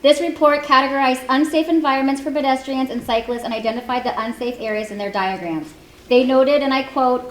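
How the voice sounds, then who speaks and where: A woman, giving a prepared public comment in a loud, earnest voice. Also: a little high. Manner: fast, earnest, reads prepared remarks. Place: community meeting hall. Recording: echoey hall, faint room noise.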